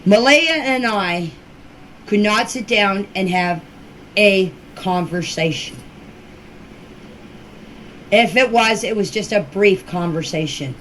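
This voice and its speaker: An older woman giving an informal personal account in a deliberate, halting way, with short emphatic phrases, recorded as an amateur voice message. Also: medium pitch, animated, a bit bright, a little hiss or hum, rough recording. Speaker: relative recounting events. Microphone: voice clip recorded for group chat.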